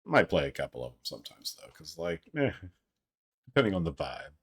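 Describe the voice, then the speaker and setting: A young man casually chatting with livestream viewers in a deep, fairly monotone voice, rambling with filler words and frequent swearing, his voice a little strained. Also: fairly quick, creaky, slightly rough. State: voice strained from an old throat injury. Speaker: livestream host.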